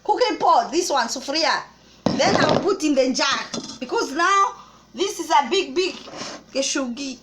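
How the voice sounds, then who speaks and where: Kenyan woman, bright and high, cooking vlog host, kitchen recording with audible noise. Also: expressive, normal speed. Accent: Kenyan English. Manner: chatty, explains step by step with small restarts. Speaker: cooking vlogger. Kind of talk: casual cooking tutorial.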